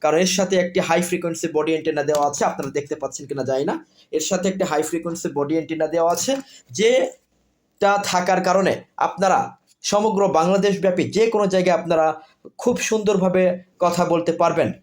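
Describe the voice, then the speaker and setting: A man with a Bangladeshi accent giving an informal product sales pitch, repetitive and insistent, running through points in lists. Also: quick pace. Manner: repetitive, insistent, with list-like phrasing. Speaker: product seller.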